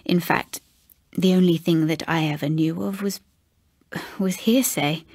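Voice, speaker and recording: A woman acting as a middle-aged English housekeeper in an audiobook ghost story. She is proud and reserved, haunted by memory. Her breathy voice goes slowly and haltingly, with understatement, in a dry recording. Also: on the low side, steady tone, a little mellow, no echo, voice pushed down. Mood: reluctant.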